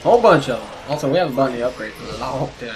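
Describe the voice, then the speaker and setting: A man's voice: medium pitch, animated, rapid-fire, poor audio. A young man chatting animatedly while gaming, profane and jumping from topic to topic. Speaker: let's play commentator. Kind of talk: casual gaming chat.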